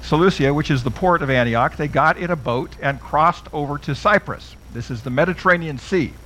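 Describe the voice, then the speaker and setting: Middle-aged man with a fairly high voice, chatty Bible lecturer who asks his listeners questions. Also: brisk, sharp tone, slight background noise. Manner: conversational, asks the audience questions. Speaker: Bible teacher.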